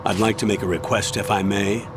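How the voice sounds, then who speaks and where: An actor playing a stern, authoritative older military colonel in a video game, commanding, measured and formal as he gives a mission briefing. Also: fairly low pitch, fairly even intonation, noisy background, close to an everyday voice. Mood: formal, guarded.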